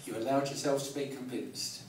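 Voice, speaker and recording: A man in his forties with a southern English accent, doing live stand-up comedy in a wry, self-deprecating way with halting, repeated phrases. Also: mid-range voice, flat delivery. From Kent, England. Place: live comedy night in a pub venue. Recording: echoey club, distant mic, audience noise. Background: audience laughter.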